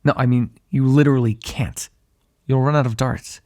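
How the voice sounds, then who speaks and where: A man's voice: mid-range voice, fairly even intonation, normal speed, darkish tone, lightly acted. A man playing a sardonic, foul-mouthed vampire on the run in an ASMR roleplay, talking in a conversational, exasperated way.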